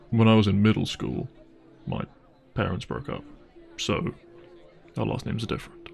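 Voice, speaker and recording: A wealthy young boyfriend, played by a man, speaks softly and intimately in an audio roleplay. His voice is on the low side with a noticeable fry, and music plays faintly behind him. He comes across as caring, apologetic and a little hesitant. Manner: gentle, hesitant, apologetic, reassuring.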